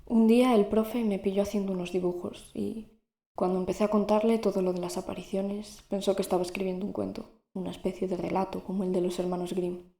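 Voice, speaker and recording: A woman's voice: medium pitch, level tone, a little fast, vocal fry, warm tone, roomy sound, natural voice. A woman playing a lonely, fearful teenage girl in an audio drama, with an introspective, confiding manner. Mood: confiding, weary. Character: lonely, fearful teenage girl narrating her secret visions. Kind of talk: first-person audio drama narration and dialogue.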